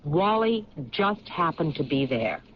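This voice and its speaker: A woman playing a persistent police lieutenant in an old radio drama, questioning and reasoning deliberately. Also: low voice, very animated. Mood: pensive, reasoning. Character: sharp, persistent female police lieutenant investigating murders. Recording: muffled, noisy 1970s radio recording.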